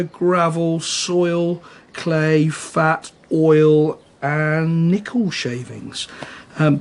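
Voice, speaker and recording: An actor playing an easygoing small-town policeman with a husky voice in a radio drama. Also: fairly high pitch, darkish tone. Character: affable, folksy small-town policeman who knows everybody.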